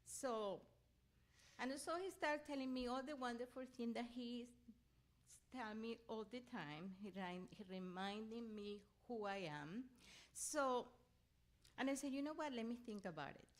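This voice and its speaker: A middle-aged or older woman from Venezuela, a native Spanish speaker with a Venezuelan accent, giving an animated personal inspirational talk as a guest speaker. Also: on the high side, unhurried. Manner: animated storytelling, often acting out her own reactions; laughs readily while talking.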